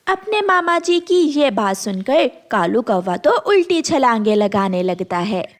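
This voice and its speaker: A woman reads a children's moral tale as narrator. Her voice is fairly high and moves with a lively storybook lilt. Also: unhurried.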